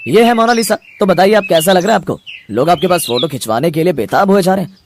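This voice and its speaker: A man voicing a brash male TV reporter in an animated story, eagerly announcing and bossing people about. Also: high-pitched, fast, slight background noise.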